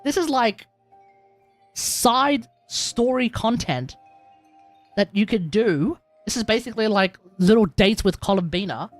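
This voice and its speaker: A woman's voice: medium pitch, animated, unhurried, no echo, soft background music. A woman streamer chatting casually as she wraps up a stream, rambling with lots of "like" and laughing. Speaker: game streamer.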